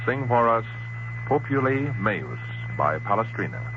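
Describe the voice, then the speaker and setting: An American man announcing formally on 1930s radio, with a measured delivery. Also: mid-range voice, sing-song, lo-fi sound. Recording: noisy, humming 1930s radio recording.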